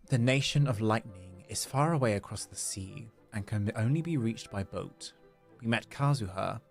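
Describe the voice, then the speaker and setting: Gravelly, low-voiced man livestreaming a game. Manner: playful, chatty, repeats phrases. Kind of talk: casual gaming livestream chat, reading game dialogue aloud. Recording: game music behind the voice. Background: video game music.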